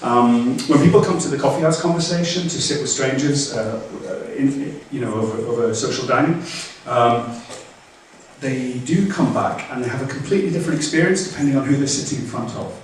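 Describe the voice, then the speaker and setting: A man's voice: medium pitch, brisk. A male guest speaker fields questions from the audience, stopping and restarting his sentences often. He is picked up from a distance in a very echoey lecture room. A faint background hum runs under his voice. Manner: hesitant, many restarts and fillers. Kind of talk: Q&A after a lecture.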